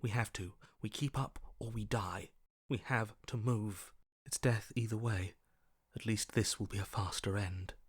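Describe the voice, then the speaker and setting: An actor playing a wary, primal survivor's inner voice in a video game, speaking in terse, watchful phrases. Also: male voice, on the low side, fairly even intonation, unhurried, breathy edge, dry recording, clean studio sound. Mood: grim resolve. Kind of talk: video game character voice acting.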